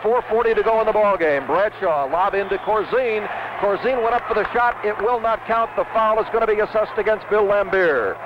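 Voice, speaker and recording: A man calls a basketball game live for radio in a high-pitched voice, in short, clipped running phrases. The sound is a dull, noisy 1970s broadcast recording. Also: fairly quick. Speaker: radio play-by-play announcer. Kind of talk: live basketball play-by-play commentary. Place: courtside in a basketball arena.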